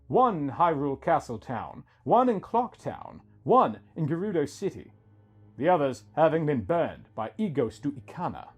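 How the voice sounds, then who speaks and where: A man's voice: fairly high pitch, darkish tone. A man reading a scripted first-person story as its narrator, with music behind him.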